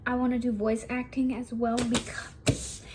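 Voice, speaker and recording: A young woman with a naturally high voice, talking casually and rambling a little as she shares acting tips from home. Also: level tone, unhurried, noisy background. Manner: chatty, rambling, self-correcting, casual. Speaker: vlogger.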